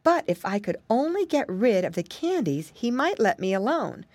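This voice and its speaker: A woman's voice: a little high, very animated, moderate pace, a little mellow, dry recording, pitched above the performer's own voice. A woman voicing a fretful, chatty little storybook girl with a plaintive, exclamatory way of talking. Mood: anxious chatty.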